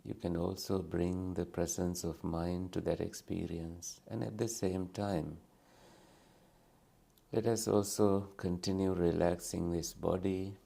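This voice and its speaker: A man with a very low, gravelly voice guides a meditation. He speaks gently and soothingly, leaving long pauses between short phrases. His tone is dark and mellow. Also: level tone. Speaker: meditation teacher.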